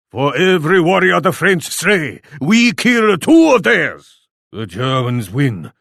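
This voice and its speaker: A man's voice: on the high side, gravelly, a bit bright, no room sound, studio quality, full character voice. An actor playing a proud, grim Japanese warlord in a video game, solemn and declamatory as he addresses troops and allies. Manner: solemn, declamatory, speaks of himself in third person. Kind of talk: theatrical video game voice lines.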